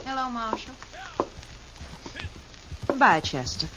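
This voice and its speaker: A woman's voice: a little high, expressive, slow pace. Coy, lilting western saloon girl. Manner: coy, teasing. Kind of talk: old-time radio western acting. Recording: dull old radio with faint noise.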